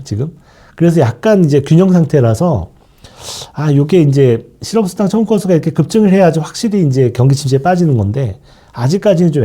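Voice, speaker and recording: A man's voice: fairly high pitch, warm tone. A man with a sore throat from a cold, giving an explanatory lecture on the market outlook as a fund manager, with frequent fillers and trailing asides.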